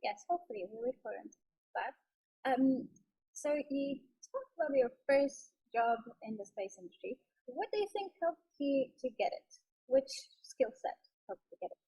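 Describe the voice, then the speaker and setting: A woman's voice: high-pitched, lively intonation, moderate pace, warm tone, husky, poor audio. A woman hosting an interview, putting questions to her guest in an encouraging way. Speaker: interviewer, host.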